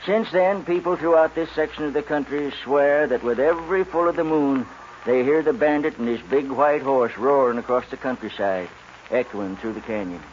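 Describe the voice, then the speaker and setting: An actor playing a folksy older cowboy who tells a legend in 1940s western radio drama style. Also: male voice, mid-range voice, moderate pace, close to an everyday voice. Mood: wistful, folksy. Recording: muffled, noisy 1940s radio recording.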